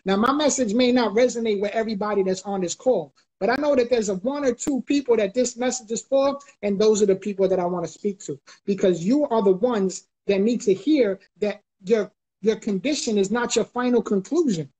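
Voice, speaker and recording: A man's voice: high-pitched, fairly quick, darkish tone, breathy edge, low-quality recording. A middle-aged man from the Bronx. He gives an emphatic motivational talk on a live video call and repeats key phrases like a preacher. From the Bronx, New York. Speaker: guest motivational speaker. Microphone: video call, close webcam or phone.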